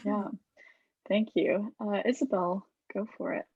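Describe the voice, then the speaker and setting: Woman moderator, husky voice, casual hosting, dull lo-fi sound. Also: medium pitch, unhurried, dry recording. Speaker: moderator of audience questions.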